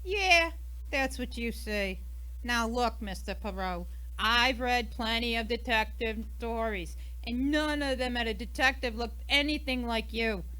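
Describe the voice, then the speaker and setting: A woman's voice: a little high, a little slow, pushed and bright, rough recording. A woman playing a brash, defensive, indignant American murder suspect in an old-time radio mystery, with a snappy, huffy delivery. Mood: indignant, sceptical.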